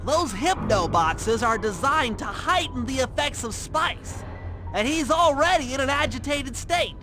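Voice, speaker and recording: A man's voice: very high voice, animated, measured pace, pushed and bright, full character voice. A man voicing a nerdy young turtle tech genius in a video game, with a thin nasal voice and a precise, wordy, anxious manner. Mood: focused briefing. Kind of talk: video game cartoon voice acting. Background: music.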